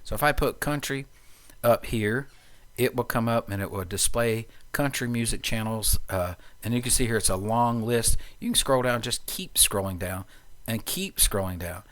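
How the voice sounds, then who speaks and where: A man presenting an informal, unscripted software tutorial, explaining things step by step. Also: medium pitch, moderate pace. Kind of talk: informal screencast walkthrough.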